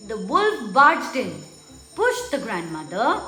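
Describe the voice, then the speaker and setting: A woman's voice: on the high side, wildly swooping pitch, takes it slowly, bright, forceful tone. A woman narrating a children's story from a script for a puppet show. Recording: echoey room with faint music.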